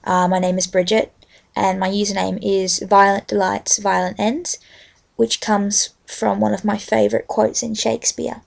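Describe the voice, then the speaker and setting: Young woman, Australian accent, strong vocal fry, halting delivery, amateur vlogger. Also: mid-range voice, flat delivery. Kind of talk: casual rambling chat with a read-aloud book passage.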